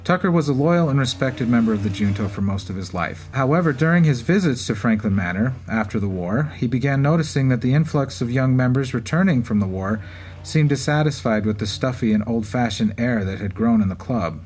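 A man's voice: mid-range voice, lively intonation, fairly quick, darkish tone. A man hosting a podcast, reading scripted narration in turns. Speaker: podcast host. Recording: clear background ambience noise. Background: racetrack event ambience.

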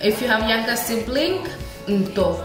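A young woman, a native Ebembe speaker, gives a casual online language lesson, explaining quickly and repeating vocabulary for the viewer. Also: on the high side, animated. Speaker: language teacher. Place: small indoor room. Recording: very echoey room with clear background music. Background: music.

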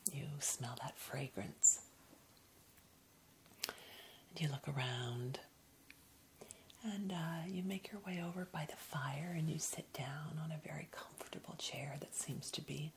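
A woman leads a guided meditation in a breathy ASMR whisper. Her delivery is slow, gentle and soothing, kept low in pitch. There is clear noise behind her voice. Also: rough recording. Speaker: ASMR host guiding a meditation. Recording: clear background noise, ambient hiss.

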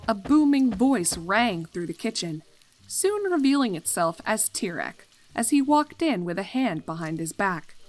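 A woman reading a fan fiction story aloud as an expressive narrator. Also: medium pitch, measured pace, low-quality recording.

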